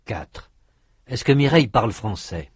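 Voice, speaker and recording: A man reading drill questions for a language course, in slow prompts with lively intonation. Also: medium pitch, husky, dry recording, clean studio sound.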